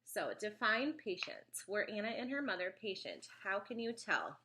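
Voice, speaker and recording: Woman, thirties, bright tone, mild cold, casual chatty review. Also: medium pitch, very animated, normal speed. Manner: chatty, frequent ums, pages through a book. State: slight cold, sniffly, occasional cough. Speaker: homeschool product reviewer.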